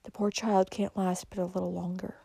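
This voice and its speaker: Storybook role: motherly elderly nurse, devout and soothing, voiced by a female narrator, mellow, close dry sound. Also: a little high, measured pace, dry recording. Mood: sad, hushed. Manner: gentle, soothing.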